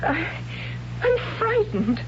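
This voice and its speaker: Radio-play huntress, high-pitched, emotional and bewildered, halting speech, muffled hissy vintage broadcast. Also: female voice, very animated, exaggerated voice. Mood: frightened, bewildered. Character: fierce young huntress turned frightened and bewildered. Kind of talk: 1970s radio drama acting. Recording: noisy, muffled old radio broadcast recording.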